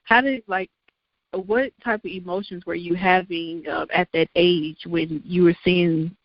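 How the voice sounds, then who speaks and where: A woman's voice: fairly low pitch, fairly even intonation, measured pace. A woman interviewer asking long, rambling questions full of fillers. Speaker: interviewer host. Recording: dull lo-fi, dry.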